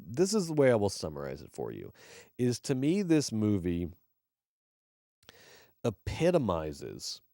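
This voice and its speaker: A man co-hosting a movie podcast, talking through a film in loose, rambling chat. His voice sits fairly low and comes across dry and close, with no room sound. He swears freely and pushes his emphasis up as he gets going. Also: warm tone, dry recording. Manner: rambling and profane. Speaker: podcast co-host. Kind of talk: casual movie review chat.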